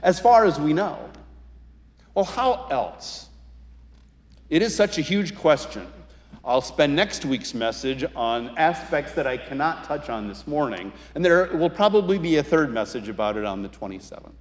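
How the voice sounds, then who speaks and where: An American man in his sixties preaching a sermon as a pastor, speaking deliberately with emphatic pauses and phrases that fall away. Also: on the high side, expressive. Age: 60s. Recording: muffled, reverberant hall recording.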